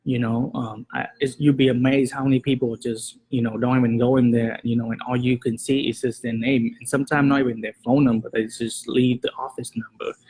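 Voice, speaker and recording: Husky-voiced man giving casual tips. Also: mid-range voice, fairly quick, few pauses, rough recording. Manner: relaxed, many fillers, slightly nonstandard grammar. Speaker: real estate agent and show host. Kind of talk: casual advice talk to camera.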